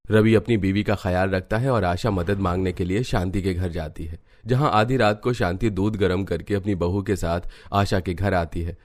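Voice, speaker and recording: Low-voiced male cartoon narrator. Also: fairly even intonation, moderate pace, hardly any pauses, a little mellow.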